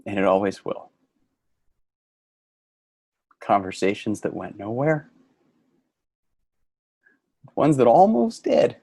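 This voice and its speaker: A man's voice: on the low side, very animated, slow pace, gravelly, long pauses, breathy edge, dry recording, heavily acted. A man acting in a play reading as an earnest, fed-up male office worker, grieving and sardonic, with an emotional delivery. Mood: solemn, heartfelt. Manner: emotional, swinging from shouting to quiet grief. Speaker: actor in a staged reading.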